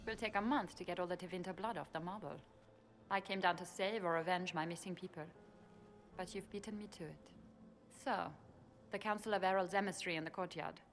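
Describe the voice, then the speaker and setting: Woman playing a low-voiced, shrewd elven spymaster. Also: lightly acted. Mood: guarded. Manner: sly, measured, insinuating. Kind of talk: dramatic game dialogue. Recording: music behind the voice.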